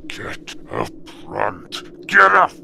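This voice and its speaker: A man voicing an angry, bullying furry beast who barks harsh, shouted orders. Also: lowish voice, huge pitch swings, extremely slow, gravelly, heavy background noise, full character voice. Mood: furious.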